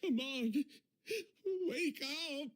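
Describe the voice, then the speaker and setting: A performer playing a desperate, fragile young man in an anime game, crying and stammering with a breathy, cracking voice. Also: high voice, a bit bright, full character voice. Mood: panicked, pleading. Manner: halting, stammering. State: crying, shaken.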